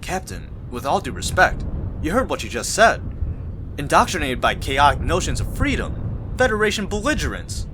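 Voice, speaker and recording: A hot-headed young ensign in a sci-fi audio drama, clipped and indignant. His pitch swings very widely, and a clear rumbling noise sits behind him. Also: big pitch swings, normal speed. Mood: defiant, indignant. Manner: clipped, tactless. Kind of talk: science fiction audio drama acting.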